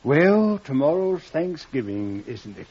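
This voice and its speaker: An actor playing a secretive, overly polite doctor in a 1950s radio drama, speaking coyly and hesitantly. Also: male voice, a little high, very animated, a little slow, darkish tone, slightly rough, exaggerated voice. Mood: genial, courteous. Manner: coy, courteous, hesitant. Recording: dull vintage 1950s radio recording.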